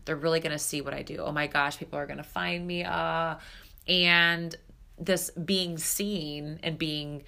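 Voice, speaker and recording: A woman's voice: low-pitched, fairly even intonation, a little slow, vocal fry, bright, forceful tone. A woman in her thirties hosting her own podcast, talking candidly and casually with lots of fillers, a little stuffed up and nasal. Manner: chatty, candid, filler-heavy. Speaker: podcast host and spiritual coach. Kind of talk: solo podcast monologue.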